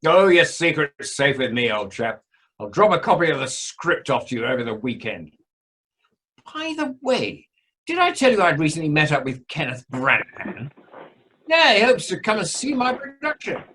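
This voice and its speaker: Male actor as British property braggart: hearty, flirtatious, raspy, very animated delivery, amateur radio comedy-drama style. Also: a little high, moderate pace, heavily acted. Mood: smug. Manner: blustering, plummy old-chap heartiness. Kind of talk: amateur radio play acting. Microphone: video call.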